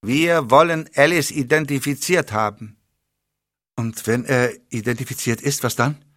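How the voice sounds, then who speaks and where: A man's voice: medium pitch, steady tone, a little slow, a bit bright, dry recording, clean studio sound, pitched above the performer's own voice, natural voice. A man voicing a nervous, shocked young informer who keeps asking anxious questions.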